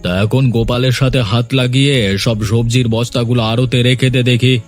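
A man's voice: mid-range voice, little pitch movement, fairly quick, close to an everyday voice. Man acting a bossy, scolding rich boss over music. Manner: berating, hectoring, full of exclamations. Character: bossy, scolding rich male warehouse owner, quick to anger. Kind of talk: cartoon story voice acting.

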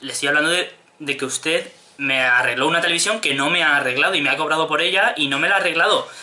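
A man's voice: fairly high pitch, quick pace, bright, forceful tone. A man in his twenties with a Spanish accent from Spain, making a prank phone call with insistent, straight-faced complaints. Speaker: prank caller. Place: small home room.

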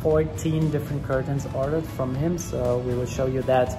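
Man in his twenties, German accent, chatty vlogger, medium pitch, music behind. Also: fairly even intonation, normal speed. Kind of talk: casual vlog talk to camera. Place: villa rooms, a furniture shop, roadside. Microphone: camera at arm's length.

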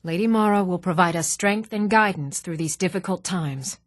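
A woman's voice: on the low side, moderate pace, dry recording, studio quality, natural voice. A woman playing a plain-spoken, hardworking and motherly townswoman in a fantasy video game. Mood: conversational, firm. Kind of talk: scripted fantasy game dialogue.

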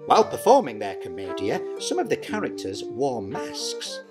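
Playful middle-aged man, wildly swooping pitch. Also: huge pitch swings, normal speed, over loud music. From Britain. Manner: playful, exaggerated, sweeping pitch swings. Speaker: storyteller and pantomime dame. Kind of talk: scripted explainer narration. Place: small dressing room, indoors.

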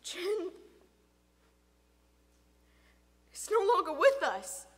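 Stern, grieving middle-aged dad, played by a female narrator, emotional and probing, animated bright delivery for audiobook. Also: a little high, a little slow, in a large hall. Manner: forceful, emotional interrogation. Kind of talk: dramatic audiobook character acting.

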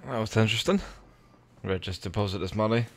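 A man's voice: fairly low pitch, fast talker. A man with a Scottish accent giving casual, sweary, rambling commentary as he plays a video game. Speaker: gaming video creator.

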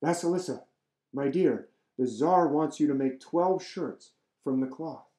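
A man's voice: fairly high pitch, measured pace, roomy sound, pitched above the performer's own voice, natural voice. A man voicing a humble, eager little old woman in a folk tale he reads aloud. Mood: excited. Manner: raised, bright storytelling voice.

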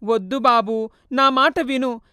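A woman voicing a poor, loving mother who speaks softly and tenderly as a ghost. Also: on the high side, moderate pace, smooth, no room sound, clean studio sound, pitched above the performer's own voice, close to an everyday voice. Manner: soft, tender, tearful.

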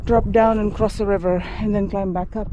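Middle-aged female solo hiker, out-of-breath narration to an arm's-length camera, loud wind outside. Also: mid-range voice, steady tone, fairly quick. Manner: breathy exclamations, thinking aloud. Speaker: solo long-distance hiker. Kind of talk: first-person hiking vlog narration. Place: open windy moorland outdoors. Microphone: camera held at arm's length. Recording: dull outdoor camera audio with wind noise.

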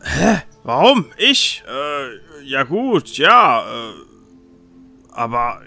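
A man's voice: medium pitch, big pitch swings, very slow, gravelly, bright, forceful tone, faint music behind, heavily acted. A man voicing an intimidated, impatient traveler in a hesitant, stammering way for a tabletop roleplaying game. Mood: startled, baffled.

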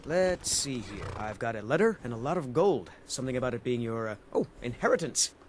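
A man plays a young fantasy game courier with a middling pitch. He is brisk, offhand and businesslike, talking over clear game noise. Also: expressive, lightly acted. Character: hurried, matter-of-fact young male courier. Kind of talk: video game character dialogue.

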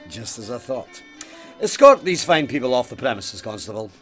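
Swooping, stern Scottish police sergeant. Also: male voice, medium pitch, very animated, normal speed, fairly bright tone, soft background music. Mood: stern, officious. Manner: officious, pompous, indignant. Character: stern, pious, unyielding male police sergeant. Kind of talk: full-cast audio drama acting.

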